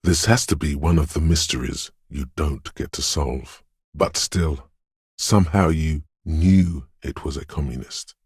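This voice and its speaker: Game inner voice of intuition: extremely low, heavily rasping, dreamlike and ominous, lingering on poetic phrases. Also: male voice, a little mellow, no echo, studio quality, heavily acted. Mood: brooding, mysterious. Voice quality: husky, gravelly bass. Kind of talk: atmospheric second-person video game narration.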